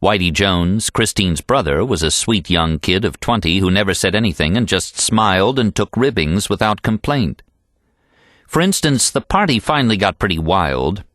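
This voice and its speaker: American guy reading a novel audiobook, low voice, very dry recording. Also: fairly bright tone, no echo. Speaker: audiobook narrator. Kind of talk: scripted literary narration of a novel.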